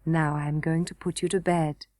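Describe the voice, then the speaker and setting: A woman voicing a gentle, wise ancient grandmother who speaks slowly and soothingly. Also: medium pitch, no room sound, clean studio sound, close to an everyday voice. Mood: tender. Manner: calm, slow and soothing.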